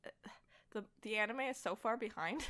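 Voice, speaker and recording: Bright-voiced woman chatting casually. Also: a little high, normal speed. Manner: animated storytelling, often laughing, self-deprecating. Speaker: podcast guest; voice actor. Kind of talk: casual conversational chat about fandom.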